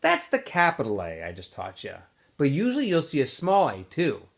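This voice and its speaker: A man's voice: on the high side, wildly swooping pitch, normal speed, gravelly, exaggerated voice. A man reading aloud and voicing an earnest boy who patiently explains things to a friend.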